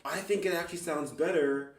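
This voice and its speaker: A man chatting casually and unscripted as an amateur guitarist, halting and self-critical as he thinks aloud. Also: a little high. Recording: roomy, distant mic, loud guitar. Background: acoustic guitar playing.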